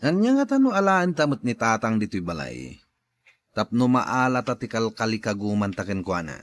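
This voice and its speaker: A man's voice: lowish voice, wildly swooping pitch, moderate pace. A man playing a grasping, calculating schemer in an audio drama, with an insistent way of talking. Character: grasping schemer after an old man's farmland. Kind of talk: Ilocano audio drama acting.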